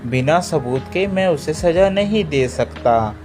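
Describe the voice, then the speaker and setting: A man voicing a stern but fair tiger king in a cartoon, measured and authoritative. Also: on the high side, animated, music in the background. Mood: firm.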